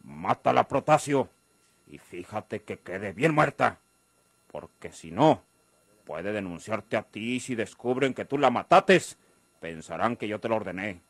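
An actor playing a blunt, menacing crime boss with a rural Mexican accent in a radio drama. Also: male voice, fairly low pitch, animated, a little slow, dry recording. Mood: cold, menacing.

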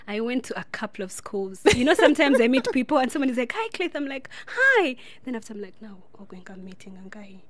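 A woman's voice: fairly high pitch, sing-song, fast talker, few pauses, dry recording. A young woman from Uganda, a guest in a radio studio, talking in a chatty, rambling way with many fillers. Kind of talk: casual radio interview. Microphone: studio boom mic.

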